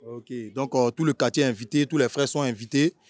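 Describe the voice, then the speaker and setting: A man's voice: medium pitch, steady tone, brisk, a little mellow, no room sound. A man with an Ivorian accent hosting an informal interview, chatty, using street slang and often rephrasing his questions. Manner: chatty, repeats filler tags and rephrases questions.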